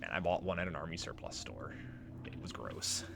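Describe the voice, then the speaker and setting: A man's voice: low voice, expressive, moderate pace, creaky, noisy background. A man giving casual let's play commentary on a video game, wry and freely swearing. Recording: voice over game music. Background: game music.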